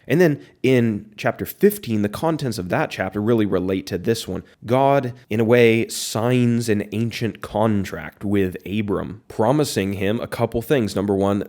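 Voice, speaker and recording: A man hosting a Bible study podcast. His voice is hoarse from illness, and he reads scripture aloud and explains it in a plain, conversational tone. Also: fairly low pitch, darkish tone.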